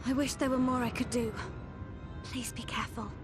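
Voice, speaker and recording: A gentle young girl from a fantasy game voiced by a woman, high-pitched and earnest. She sounds eager to help and softly pleading, with music playing behind. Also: fairly even intonation, moderate pace, lightly acted. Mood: wistful concern. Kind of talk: video game cutscene acting.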